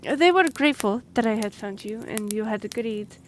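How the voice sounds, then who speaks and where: A woman's voice: a little high, lively intonation, normal speed, a little hiss or hum, lightly acted, rough recording. A woman playing an earnest young half-elf noblewoman and royal envoy in a fantasy roleplay audio drama, speaking formally with some awkward hesitation. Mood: measured, explanatory. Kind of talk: fantasy roleplay audio acting, addressing the listener.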